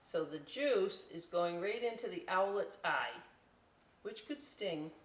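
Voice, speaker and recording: A woman reads a picture book to children with a very lively, swooping delivery. She drops in chatty, folksy asides as host. The sound is roomy and a little distant from the microphone. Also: on the low side, slow pace. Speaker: library story time host. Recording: echoey room, distant microphone.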